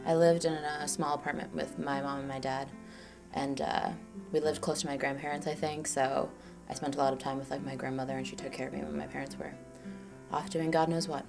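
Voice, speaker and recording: A young woman from Chile talks through her own story in a relaxed, chatty flow, peppering it with "like" and little acted quotes. Her voice sits low for a woman. Guitar music plays clearly beneath her. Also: fairly quick. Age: 20s. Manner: chatty, lots of "like", acts out quotes. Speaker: documentary subject. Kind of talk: casual personal storytelling. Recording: music playing underneath.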